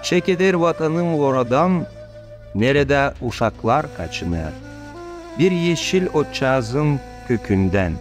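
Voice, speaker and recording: A man with a Gagauz accent narrates a promo in a slow, measured recitation. His pitch moves in a lively, expressive way. Soft music plays underneath. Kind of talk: scripted narration and poem recital.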